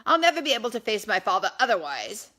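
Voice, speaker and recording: An exuberant, tipsy young English gentleman, voiced by a woman reading a novel. He declaims loudly and emphatically, pitched high and eager to join up. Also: very animated, fast. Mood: determined.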